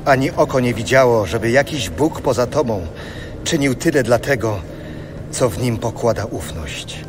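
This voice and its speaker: A man's voice: mid-range voice, natural voice. Man acting a solemn pleading prophet, music behind. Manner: solemn, beseeching delivery. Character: pleading prophet lamenting his people's sins to God. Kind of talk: dramatized audio Bible reading.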